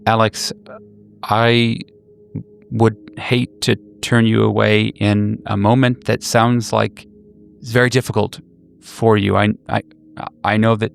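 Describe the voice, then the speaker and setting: Wounded male former lover, acted by a man, gravelly, emphatic, halting, roleplayed phone drama. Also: on the low side, level tone, faint music behind. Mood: guarded, pained. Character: wounded, exasperated former lover, a man, firmly refusing reunion.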